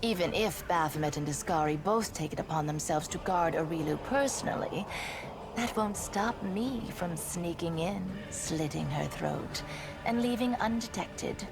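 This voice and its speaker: A woman's voice: lowish voice, expressive, normal speed, noisy background. A woman voicing a sly, mocking female demon in a video game, theatrical, self-assured and mockingly polite. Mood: scheming, assured.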